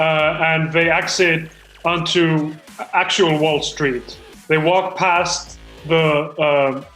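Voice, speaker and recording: Male, high-pitched and bright, fumbling amateur screenwriter at a live reading; roomy sound. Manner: hesitant, stumbling, apologetic. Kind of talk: reading stage directions aloud, live comedy table read.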